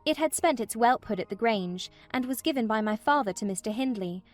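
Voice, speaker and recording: Woman playing a high-voiced, unhappy young gentlewoman. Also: expressive, soft background music, close to an everyday voice. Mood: rueful recounting. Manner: literary, measured storytelling. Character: vexed, wretched young gentlewoman recounting in a letter. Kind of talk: dramatized audiobook narration.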